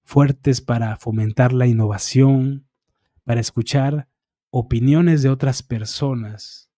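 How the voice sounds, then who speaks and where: Calm man reciting affirmations, mellow tone. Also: mid-range voice, no room sound, clean studio sound. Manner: calm, measured recitation of repeated affirmations in long lists; eyes often closed, fingertip to temple, concentrating. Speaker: energy healing practitioner. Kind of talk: guided affirmation session. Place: small dark room, indoors. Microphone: studio microphone on boom arm, close.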